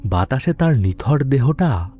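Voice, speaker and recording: A man's voice: mid-range voice, very animated, unhurried, warm tone. A man narrating a scripted story dramatically, with music behind his voice. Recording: background music under the voice.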